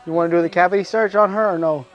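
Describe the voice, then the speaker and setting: Fast-talking, high-pitched American man, insistent. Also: fairly even intonation, lo-fi sound, soft background music. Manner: repetitive, insistent. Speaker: prankster posing as store security. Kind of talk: confrontational street prank banter.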